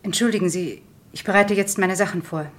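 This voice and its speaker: An actress as a controlled, lower-voiced woman confessing. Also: fairly quick, close to an everyday voice. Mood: subdued, resigned. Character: woman doctor calmly confessing to killing her husband. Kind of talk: 1970s German radio crime drama acting.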